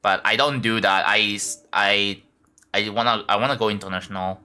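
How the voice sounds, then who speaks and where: A man's voice: fairly low pitch, fairly even intonation, normal speed, pushed and bright, smooth, faint music behind. A man with an Indonesian accent, chatting casually to his livestream viewers while building a game level and thinking aloud. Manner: thinks aloud, talks to chat, many fillers and restarts.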